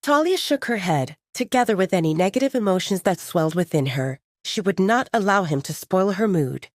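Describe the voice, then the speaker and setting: A woman reading a scripted story as an audiobook narrator, with an expressive, dramatic storytelling delivery. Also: mid-range voice, a little fast, no room sound, studio quality.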